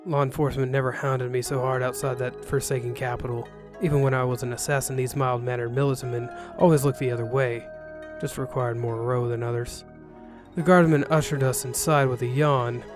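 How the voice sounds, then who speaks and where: A man narrates a first-person ronin tale with dry sarcasm. His voice is mid-pitched, and music plays behind the reading. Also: flat delivery, brisk. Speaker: narrator reading an interactive novel. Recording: music bed under the voice.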